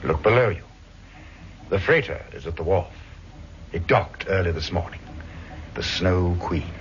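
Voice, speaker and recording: Crime boss, low swooping voice, silky menace, formal and mocking, vintage radio thriller, muffled recording. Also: male voice, expressive, a little slow, a little hiss or hum. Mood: smug, assured. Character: suave, cruel male criminal mastermind, menacingly polite. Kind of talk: 1960s radio crime drama acting.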